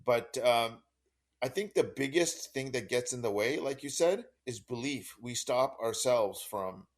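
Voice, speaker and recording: A man's voice: medium pitch, lively intonation, measured pace. A man co-hosting a podcast, talking in an easygoing, casual way. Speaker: podcast co-host. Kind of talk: casual chat.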